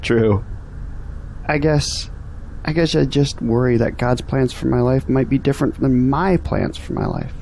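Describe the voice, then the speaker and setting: An actor playing a hesitant, humble young son in an audio drama. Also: male voice, medium pitch, sing-song, a little slow, creaky, a little mellow, a little hiss or hum. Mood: unsure, humble. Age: young adult. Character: young, unsure, dutiful son seeking guidance.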